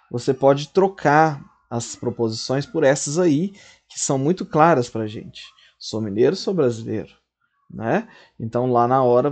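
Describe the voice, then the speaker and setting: A man's voice: mid-range voice, a little mellow. Man teaching logic at a board. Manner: explains step by step, often checks in with "certo?" and "tá?". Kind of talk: recorded logic lesson.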